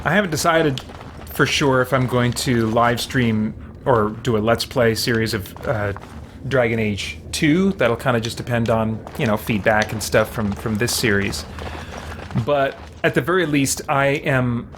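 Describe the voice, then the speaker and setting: A man's voice: mid-range voice, measured pace, noisy background. A man chatting casually on a live stream while playing a game, with a playful, folksy manner. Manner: playful, mild folksy exclamations. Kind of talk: casual live-stream chat and gameplay commentary.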